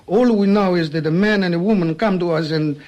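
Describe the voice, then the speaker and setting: An actor playing a guarded foreign suspect, stiff and defensive, in an old-fashioned radio drama. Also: male voice, sits high, a little fast, a little mellow, lightly acted. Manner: stiff uncontracted phrasing, defensive. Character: guarded foreign male suspect under questioning.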